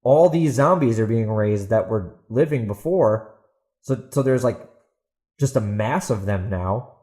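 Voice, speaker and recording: A man's voice: lowish voice, lively intonation, measured pace, warm tone. A man hosting a podcast in a casual, opinionated rant, speaking bluntly and emphatically with incredulous rhetorical questions. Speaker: podcast host and author.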